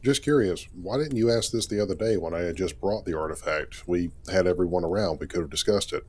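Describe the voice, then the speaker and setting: A man's voice: sits low, brisk, darkish tone. A man playing an easygoing, curious spaceship captain in a sci-fi game story, talking casually and thinking aloud. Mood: curious, probing. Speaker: actor voicing a game character in a fan story. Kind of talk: conversational character acting. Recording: clearly noisy background.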